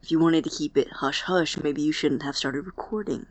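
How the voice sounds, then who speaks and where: A man acting in an audio drama as an awkward, earnest, apologetic college student who stammers and speaks softly. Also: on the high side, moderate pace, dry recording, natural voice. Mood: wry. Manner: hesitant, stammering, soft and breathy when sincere.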